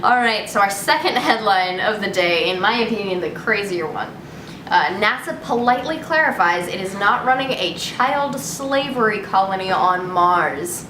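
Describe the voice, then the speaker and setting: A woman's voice: medium pitch, animated, moderate pace. A young adult woman, hosting in a casual comedic style, chatting and reading news articles aloud with informal, giggly delivery. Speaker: host reading news headlines. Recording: roomy echo with clear background noise.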